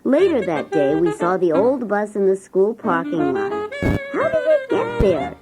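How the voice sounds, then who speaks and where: A woman's voice: mid-range voice, wildly swooping pitch, measured pace, music in the background. A woman playing a schoolchild who narrates class adventures with wonder in a children's story.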